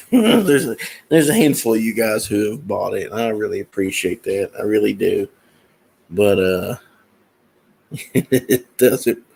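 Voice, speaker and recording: A man's voice: deep voice, creaky, a little mellow, breathy edge, low-quality recording. A man talking casually to his viewers about his music and projects in a rambling, meandering way with many fillers. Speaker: musician and comic creator. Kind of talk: casual talk to camera.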